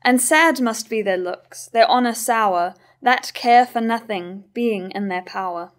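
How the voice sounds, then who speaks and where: A young woman playing a sage, disapproving chorus, reciting tragic verse in a measured way in a staged reading. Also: fairly high pitch, lightly acted. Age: young adult. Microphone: stand microphone at lectern.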